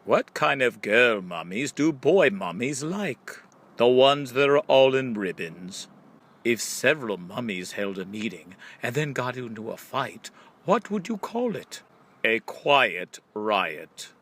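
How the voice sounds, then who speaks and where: A man's voice: mid-range voice, very animated, fairly bright tone. A man reading a scripted book of riddles, setting up each question and drawing out the punchline. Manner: question-and-answer delivery with drawn-out punchlines. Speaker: audiobook reader.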